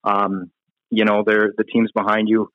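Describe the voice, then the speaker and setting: Male hockey player, hesitant conversational answers, slightly low pitch, muffled lo-fi recording. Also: completely flat delivery, no echo. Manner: hesitant, halting, frequent you-knows. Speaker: professional ice hockey player being interviewed. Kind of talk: casual interview answers.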